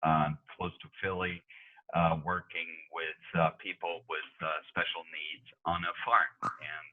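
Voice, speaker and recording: A German journalist, a man, answering interview questions in a relaxed way with many fillers. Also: low voice, measured pace. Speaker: journalist guest. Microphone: video call. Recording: tinny phone-like video call audio.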